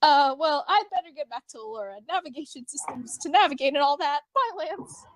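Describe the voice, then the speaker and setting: A woman plays an earnest alien man with a high voice and a dry, close sound. He rambles with awkward cheer and muddles his sayings, as in an amateur cartoon fan drama. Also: expressive, a little fast, dry recording, rough recording. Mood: awkwardly cheerful. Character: chatty, earnest alien man reporting awkwardly by video call. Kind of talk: fan fiction read as acted drama.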